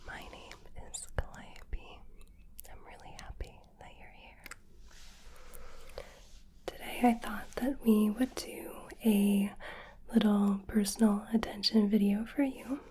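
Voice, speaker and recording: Slow, soft-whispering woman, hoarse. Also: medium pitch, fairly even intonation. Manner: slow, gentle, caring personal attention. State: hoarse and sniffly after hay fever. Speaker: ASMR creator. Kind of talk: whispered ASMR personal attention roleplay.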